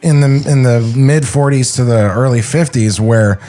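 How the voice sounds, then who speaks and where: A man's voice: mid-range voice, moderate pace, dark tone, faint music behind. A man co-hosting a podcast, critiquing in casual, mocking banter with a blunt, sweary manner.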